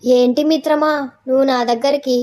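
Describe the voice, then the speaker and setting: A woman's voice: sits high, steady tone, brisk, darkish tone, natural voice. A woman voicing a friendly, helpful demoness in a village moral tale.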